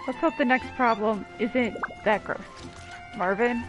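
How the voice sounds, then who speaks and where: A woman's voice: a little high, a little slow, music in the background. A woman giving casual, playful, teasing commentary over a game playthrough. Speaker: gaming video commentator.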